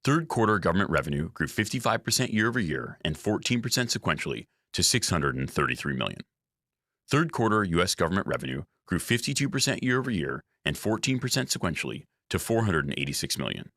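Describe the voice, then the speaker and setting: A man reads a scripted earnings presentation as a company executive, in a formal, measured manner. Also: deep voice, sing-song, a bit bright, dry recording, studio quality. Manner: formal, measured reading of figures.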